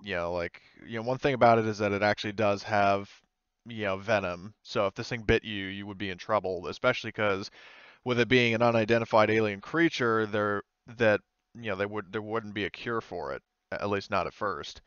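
A man improvising tabletop game narration as game master, explaining in a hesitant, halting way. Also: lowish voice, fairly even intonation, a bit bright, clear, resonant tone, no echo, dull audio.

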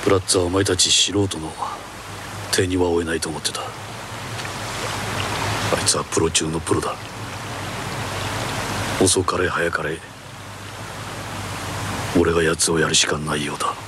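A man's voice: sits low, flat delivery, moderate pace, long pauses, heavy background noise. A middle-aged man dubbing film characters, stern and commanding, often menacing. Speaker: voice actor dubbing film roles. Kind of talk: film dubbing, dramatic acting.

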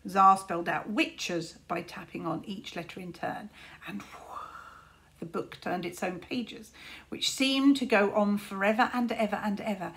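A middle-aged woman narrating a children's story expressively, with deliberate dramatic emphasis. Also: mid-range voice, steady tone, a bit bright. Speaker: teacher reading to pupils. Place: small room indoors.

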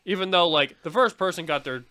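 A man co-hosting a casual podcast, telling stories in an animated way and swearing freely. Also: high voice, a little fast. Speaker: podcast co-host.

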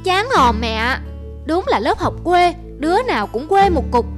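A woman's voice: high voice, sing-song, moderate pace, soft background music. A woman voicing a spoiled, sulky young city boy in a cartoon, whiny and disdainful. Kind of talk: cartoon voice acting.

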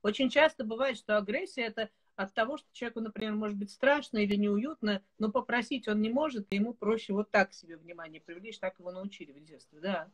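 A woman in her forties hosting a casual video call chat, telling stories in an animated, laughing way. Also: medium pitch, fast, few pauses. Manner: lively storyteller, animated and laughing. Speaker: host. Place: home room with bookshelves. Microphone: video call webcam.

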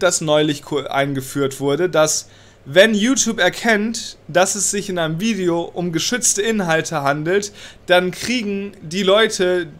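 A man's voice: high-pitched, a bit bright. A young man with a cold, ranting agitatedly and swearing while he reads a law text aloud and comments on it. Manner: agitated, swears, mixes in English words. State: has a cold. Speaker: political commentator. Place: small room indoors.

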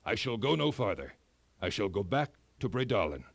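A man's voice: lowish voice, sing-song, normal speed, vocal fry, no echo, pitched below the performer's own voice, full character voice. A man voicing a good, wise old king in a storybook, speaking earnestly and with some drama. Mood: resolute. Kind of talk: storybook character acting.

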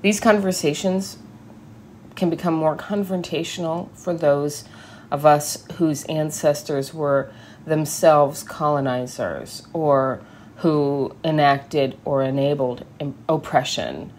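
A woman giving a scripted sermon reading, measured and deliberate, with emphatic pauses. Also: sits low, steady tone, a little mellow, a little hiss or hum. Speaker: service speaker.

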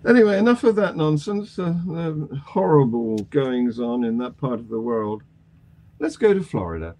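A man hosting a news discussion on a video call, with a halting delivery. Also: mid-range voice, sing-song, darkish tone. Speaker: panel show host. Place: indoor home room on a video call.

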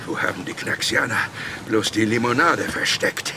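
An actor playing a hapless, sneaky, dim-witted cartoon villain who whines and exclaims to himself in a children's audio drama. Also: male voice, on the low side, normal speed, background noise, natural voice. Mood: grumbling, busy. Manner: exclaiming, talking to himself, whining.

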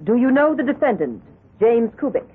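An actress playing a formal American prosecutor in a radio drama, putting precise questions. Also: female voice, fairly high pitch, very animated, moderate pace, husky. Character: formal, brisk female prosecutor questioning in court. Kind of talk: 1970s radio drama acting. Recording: muffled old radio broadcast.

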